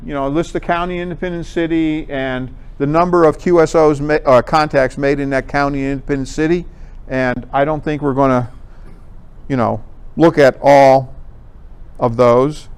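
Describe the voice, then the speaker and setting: Male presenter, clean clear tone, mid-range pitch. Informal, conversational explainer addressing a group. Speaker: presenter at an amateur radio club talk.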